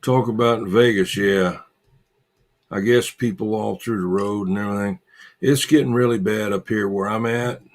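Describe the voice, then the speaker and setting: A man hosting a casual livestream, chatting with viewers in a halting, repetitive way and muttering asides to himself. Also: lowish voice, fairly even intonation. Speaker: livestream host.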